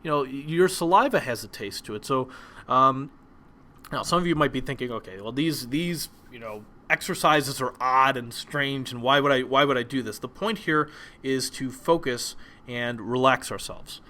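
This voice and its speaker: A young man whose first language is Polish, giving a relaxed presentation lesson with frequent ums and restarts. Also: mid-range voice, normal speed. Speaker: teacher.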